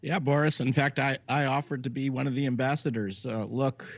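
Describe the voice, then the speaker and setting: An older American man answering a news interview as a medical expert, halting but emphatic. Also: mid-range voice, steady tone, creaky, no room sound, highs cut off. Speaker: medical expert guest, professor and dean.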